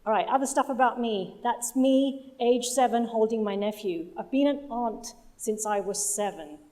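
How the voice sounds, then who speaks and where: Higher-voiced woman giving a talk. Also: moderate pace, dark tone. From London, UK. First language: Punjabi. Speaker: conference speaker, project manager. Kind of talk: conference presentation. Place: conference hall stage.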